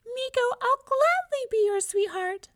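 A woman voicing a tiny, sweet, devoted female talking mouse in a children's story, with a squeaky voice and an eager, singsong manner. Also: lively intonation, unhurried. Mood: affectionate, eager.

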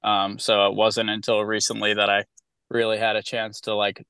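A musician guest in an interview, answering in a hesitant, laid-back way full of fillers. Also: male voice, fairly low pitch, completely flat delivery, normal speed, sharp tone, clean tone, dry recording. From upstate New York.

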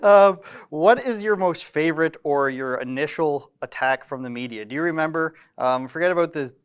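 A man's voice: a little high, normal speed, smooth. A man hosting an interview, joking wryly and laughing often. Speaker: interview host. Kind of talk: casual interview questions.

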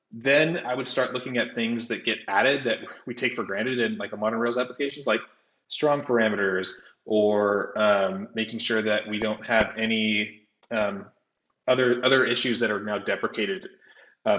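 A man talking casually as a technical podcast guest, halting and often correcting himself as he explains. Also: steady tone, a bit bright. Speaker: podcast guest, software developer. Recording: roomy, slightly dull low-fidelity audio.

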